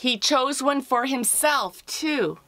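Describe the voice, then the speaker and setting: A middle-aged woman reads a children's picture book aloud in an expressive, deliberate storytelling manner. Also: high voice. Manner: expressive, deliberate, with drawn-out phrases. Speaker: author reading her picture book aloud.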